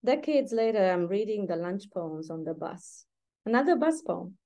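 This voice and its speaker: A middle-aged woman from Romania with a Romanian accent, reading her poetry aloud at a deliberate pace over a video call from home and adding short asides between poems. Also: mid-range voice, expressive, warm tone. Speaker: poet. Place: room at home, indoors.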